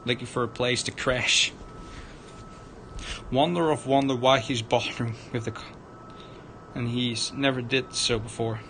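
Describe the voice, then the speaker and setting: A man narrating a visual novel aloud on a livestream, dramatic but halting, stumbling over the written words. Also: fairly even intonation, fairly bright tone. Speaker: streamer, narrator. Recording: noisy room with low rumble.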